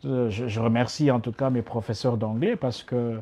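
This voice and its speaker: Man from Congo, middle-aged, hesitant, frequent fillers, mid pitch. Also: fairly even intonation, moderate pace, mellow. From Democratic Republic of Congo. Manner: hesitant, many fillers and restarts. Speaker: guest. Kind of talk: casual interview talk.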